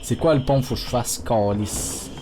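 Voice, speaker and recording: A man with a Quebec French accent, an excitable streamer swearing often and talking to his chat during live gameplay commentary. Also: mid-range voice, moderate pace, slight background noise.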